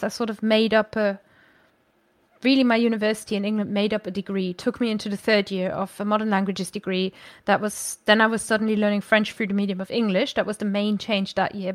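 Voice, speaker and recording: A woman's voice: mid-range voice, fairly bright tone, no room sound. A woman, chatting as a podcast guest and thinking aloud with frequent self-corrections. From Germany. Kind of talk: casual conversational storytelling.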